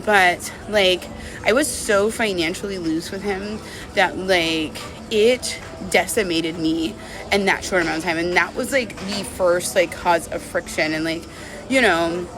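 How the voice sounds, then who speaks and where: A woman's voice: fairly low pitch, measured pace, music in the background, poor audio. A woman talking casually and confessionally to camera as a vlogger, candid and rambling, with lots of "like" and "you know". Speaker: vlogger telling a personal breakup story.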